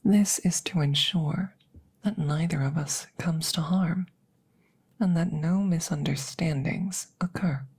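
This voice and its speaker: A woman voicing a formal, curious alien examiner in an ASMR roleplay, soft and breathy, often whispering, with precise clinical phrasing. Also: deep voice, takes it slowly, exaggerated voice. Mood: clinical, hushed. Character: formal, curious female alien examiner interviewing a rescued creature.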